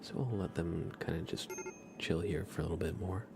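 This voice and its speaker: A soft-spoken man hosting a relaxed ASMR gaming livestream, often dropping into a whisper. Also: sits low, brisk, vocal fry, over music. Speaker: livestream host.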